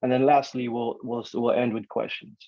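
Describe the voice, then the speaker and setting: A young man from the Philippines, raised on Guam, whose first languages are Ilocano and Tagalog, giving a relaxed, chatty alumni talk over a video call with lots of fillers and restarts. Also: mid-range voice, normal speed, no room sound. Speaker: alumni guest speaker. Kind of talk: casual presentation on a video call. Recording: dry, slightly dull call audio.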